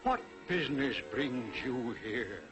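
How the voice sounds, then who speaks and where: An actor playing a fearful, remorseful old miser in theatrical, pleading 1940s television drama style. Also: male voice, mid-range voice, animated, takes it slowly. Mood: apprehensive. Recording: old 1940s television soundtrack, loud music behind.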